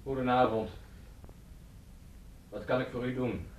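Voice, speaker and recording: A man's voice: mid-range voice, steady tone, unhurried, roomy sound, natural voice. An actor playing a courteous, formal male innkeeper in a Dutch radio play, heard on a muffled, noisy recording from a distance. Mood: courteous, businesslike.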